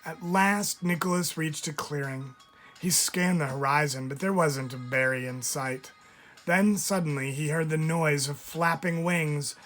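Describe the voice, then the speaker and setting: A man, a teacher, reading a picture book aloud in an expressive storytelling style, stressing key words. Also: on the high side, unhurried. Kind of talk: expressive read-aloud narration for children. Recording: music under the voice. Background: music.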